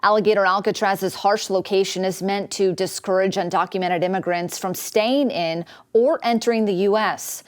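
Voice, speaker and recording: A woman's voice: mid-range voice, steady tone, moderate pace, hardly any pauses. American woman reading scripted news. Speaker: news reporter.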